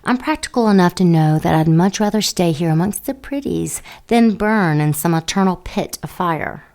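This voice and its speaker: A sultry female dark angel, sly and flirtatious, teasing everyone she talks to. Her voice sits a little low, with a mocking purr in an audio drama. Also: animated, normal speed.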